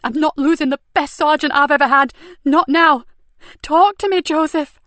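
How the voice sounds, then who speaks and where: A woman voicing a fierce female police detective, talking loudly and forcefully. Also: high-pitched, unhurried, no echo, pitched above the performer's own voice. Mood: desperate. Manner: loud, forceful, desperate.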